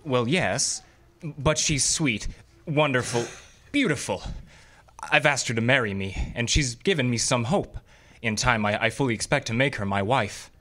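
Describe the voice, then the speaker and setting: An actor playing an earnest, lovesick, moralizing gentleman with formal, flowery speech in a radio drama. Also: mid-range voice, normal speed, natural voice. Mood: smitten, earnest. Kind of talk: old-time radio drama acting.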